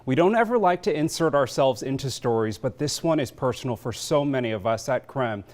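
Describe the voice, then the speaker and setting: A man anchoring a local news feature, narrating in a measured, earnest, scripted way. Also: medium pitch, expressive. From Vietnamese and Chinese American.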